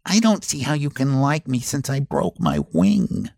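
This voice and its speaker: A man's voice: mid-range voice, sing-song, unhurried, vocal fry, a little mellow, no echo, studio quality, voice pushed up, full character voice. A man voicing a homely, devoted and brave male moth in a children's storybook, eager and plain-spoken. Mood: humble, tender.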